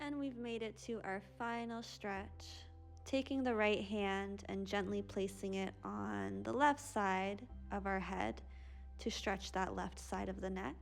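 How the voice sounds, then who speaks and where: A young woman teaching a yoga stretch class in a soft, sleepy, gentle voice, recorded by a camera across a small room. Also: medium pitch, fairly even intonation, unhurried, creaky, soft background music. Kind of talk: guided yoga class instruction. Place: small pink-lit room, indoors.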